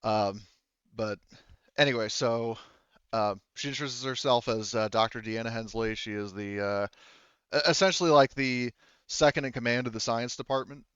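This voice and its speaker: A man with a smooth voice acts as game master, recorded dry and a little dull. He narrates and explains the scene in a wordy, halting, improvised way. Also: medium pitch, fairly even intonation, fairly bright tone, dry recording.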